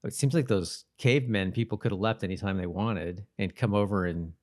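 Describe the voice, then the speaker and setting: A man's voice: lowish voice, fairly quick. A man co-hosting a podcast, giving casual, jokey commentary on a TV show. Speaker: podcast co-host.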